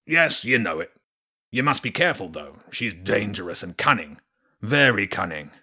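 A man voicing a huge, grumpy, lazy old badger king in a storybook reading, speaking slowly and gruffly with a husky voice. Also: lowish voice, big pitch swings, pushed and bright, exaggerated voice. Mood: grave. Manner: slow, grumbling, gruff.

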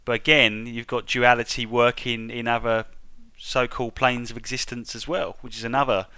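A man's voice: medium pitch, level tone, moderate pace, bright, forceful tone, clear, resonant tone. A man hosting a casual discussion, feeling tentatively for his words and checking that he is understood. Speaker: interview host.

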